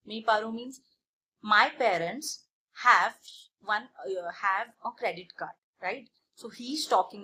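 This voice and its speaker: A woman teaching a beginner language lesson, deliberately repeating phrases for learners. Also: mid-range voice, fairly bright tone, slight background noise. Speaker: language teacher and channel host. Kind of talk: beginner French lesson, explanatory.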